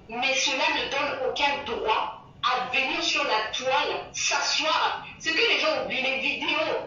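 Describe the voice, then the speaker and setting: A high, bright woman's voice, pausing and repeating words as she hunts for what to say. She sounds far from the mic in a very echoey space, and the sound is dull and lo-fi. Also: slight background noise. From Central African Republic. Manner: hesitant, searching for words, many repetitions. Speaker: guest. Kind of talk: casual interview talk, moral advice.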